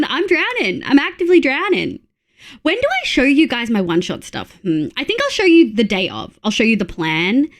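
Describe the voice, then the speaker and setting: A chatty woman streaming a game, her voice thick and stuffy from congestion. She talks in an excitable, rambling way with lots of fillers, sitting a little above the usual pitch for a woman. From Australia. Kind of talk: casual livestream chat while playing.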